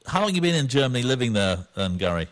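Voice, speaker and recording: A man's voice: medium pitch, very animated, rapid-fire. A man hosts a radio talk show and puts questions to his guest in an easy, casual way. He often hesitates with short fillers before he gets to the point. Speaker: radio host.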